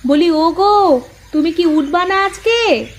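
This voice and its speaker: A woman plays a nagging housewife from a village cartoon. Her voice sits very high, and she speaks in colloquial rural phrases with a scolding, sarcastic edge. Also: lively intonation, slow, a little hiss or hum, full character voice. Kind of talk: Bengali folk-tale cartoon dubbing.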